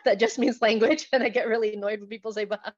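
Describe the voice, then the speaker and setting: A woman's voice: a little high, fast, no echo. Chatty Indonesian woman on a video call. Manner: chatty, animated, laughs often. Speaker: invited speaker, writer and artist. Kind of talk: online presentation talk. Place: living room, on a couch. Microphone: laptop webcam on video call. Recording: dull lo-fi video call audio.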